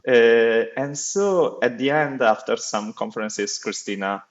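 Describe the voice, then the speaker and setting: A man's voice: medium pitch, a little slow, dull audio. A man in his thirties with an Italian accent, speaking English as a second language as a podcast guest, hesitant with many filler sounds. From Italy. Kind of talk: interview conversation.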